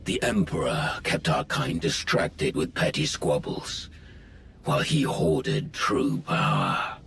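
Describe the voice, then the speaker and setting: An actor playing a menacing, grandiose Sith lord with slow, brooding oratory in a video game. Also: male voice, rumbling low, very animated, gravelly, fairly bright tone, a little hiss or hum, exaggerated voice. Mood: defiant, grandiose. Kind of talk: dramatic video game voice acting.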